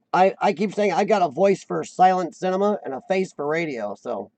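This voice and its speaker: A man's voice: high-pitched, expressive, a little slow, vocal fry, darkish tone. A man hosting a casual live-stream chat, rambling and self-deprecating, often restarting his sentences. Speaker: host of a live chat show.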